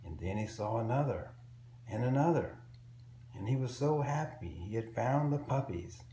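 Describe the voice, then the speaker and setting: A man reading a picture book aloud to young children in an expressive, simple storytelling way. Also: medium pitch, normal speed. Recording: roomy echo with faint background noise.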